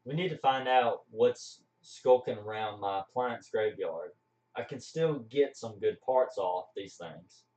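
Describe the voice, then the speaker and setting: A man's voice: fairly low pitch, measured pace, darkish tone, distant mic, natural voice. A man playing a skeptical, grumbling appliance repairman in an amateur live radio play, dismissive and declaiming. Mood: gruff suspicion. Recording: echoey room, off mic, music behind.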